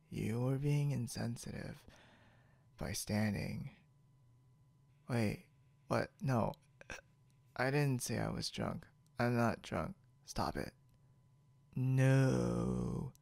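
A man acts out a tipsy, tired young man in an intimate ASMR roleplay. His voice is mid-pitched and full of heavy vocal fry. He rambles playfully, often dropping toward a whisper, right up close on a dry recording. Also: a little mellow, no echo. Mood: babbling denial. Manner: rambling, slurred-slow, giggly, whispery at times. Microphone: close microphone, dry.

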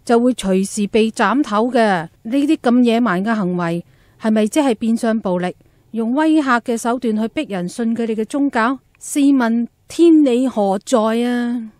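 Woman as a righteous complaining customer, highish voice. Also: measured pace, darkish tone, no room sound, lightly acted. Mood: indignant. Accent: Hong Kong. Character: indignant female teahouse customer, righteous complainer. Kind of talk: radio drama acting.

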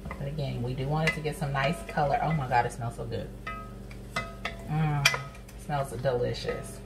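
Low-voiced chatty woman, lilt. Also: steady tone, normal speed, gravelly, over music. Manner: chatty, folksy, calls viewers honey and sis. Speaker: cooking vlogger. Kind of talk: casual cooking demo. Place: home kitchen, indoors. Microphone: camera nearby, speaker off to the side. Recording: kitchen with frying noise. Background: sizzling pan and stirring.

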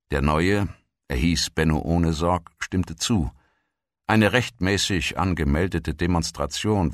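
A man with a very low voice narrates a documentary. He reads from a script as a narrator. The sound is very dry, with hardly any room in it. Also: a bit bright, no room sound.

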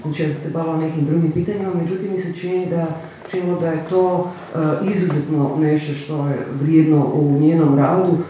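A woman panelist speaking quickly at a panel discussion, searching for words as she goes. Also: low voice, steady tone, dark tone, highs cut off, a little hiss or hum. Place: cinema hall panel table. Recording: echoey hall, distant mic.

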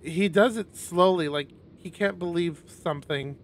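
A man reading a visual novel aloud on a stream in an expressive narrating style. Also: sits high, a little slow, slight background noise.

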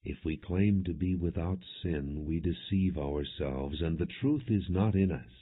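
A man narrating an audio Bible in a measured, solemn reading with deliberate phrasing. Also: sits low, husky. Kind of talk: non-dramatized Bible reading. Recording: muffled, phone-like narrow-band audio.

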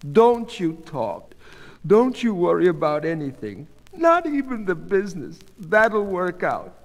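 A man voicing an anxious, excitable older wife in a story, fretting and pleading in exclamations. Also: high-pitched, a little slow, voice pushed up, heavily acted. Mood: fussing, soothing. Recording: long hall reverb.

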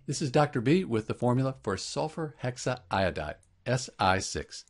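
A man narrating an instructional chemistry tutorial, explaining clearly step by step as a teacher. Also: mid-range voice, big pitch swings, normal speed.

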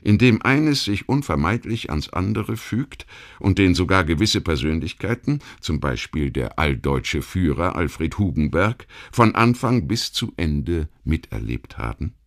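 Very deep-voiced male audiobook narrator. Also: very animated. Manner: measured, formal, essayistic delivery. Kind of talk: scripted documentary narration.

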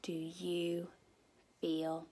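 A young woman, a nursery teacher, reading a children's story expressively and gently, as if to small children. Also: on the low side, completely flat delivery, drawn-out words, husky. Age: young adult. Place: indoor room at home.

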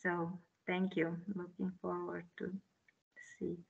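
A woman's voice: lowish voice, completely flat delivery, slow pace, vocal fry, husky, lo-fi sound. A woman from Bulgaria, a writer and teacher, introducing herself on a video call in a friendly, hesitant way in English.

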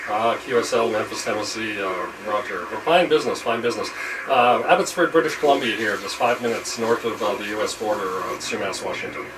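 A man's voice: fairly low pitch, brisk. A man in his fifties, an amateur radio operator, making radio contacts and commenting casually to camera. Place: small radio shack room indoors. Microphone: camera across the room. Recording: noisy room, distant camera microphone. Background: loud hiss and radio noise.

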